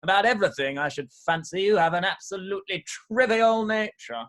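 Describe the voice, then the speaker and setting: Man playing young dandy, airy, mock-serious, Victorian comedy, lively swooping intonation. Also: high voice, fairly quick. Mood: smug, teasing. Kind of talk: rehearsed reading of a Victorian stage comedy.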